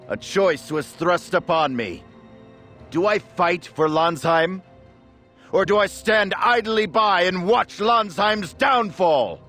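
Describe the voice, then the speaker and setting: A man playing a grandiose, scheming nobleman in a fantasy game, giving a measured, theatrical speech. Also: a little high, fairly bright tone, heavily acted. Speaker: villain orator in a fantasy game.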